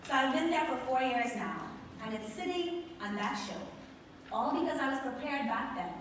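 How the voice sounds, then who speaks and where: A woman's voice: sits high, big pitch swings, moderate pace. A woman with a Bahamian accent giving an emphatic motivational stage speech, stretching key words for effect. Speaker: motivational speaker and show host. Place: large venue on stage with live audience. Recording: very echoey stage sound, distant, noisy.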